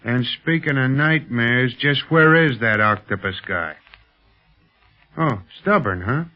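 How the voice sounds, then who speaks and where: An actor playing a brash, defiant pilot sidekick in a 1930s radio serial, slangy, sarcastic and folksy. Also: male voice, mid-range voice, takes it slowly, faint music behind, close to an everyday voice. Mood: grumbling, defiant. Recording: muffled old 1930s radio recording.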